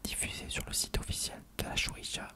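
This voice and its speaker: An ASMR narrator reads an encyclopedia-style article entirely in an unvoiced whisper. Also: brisk.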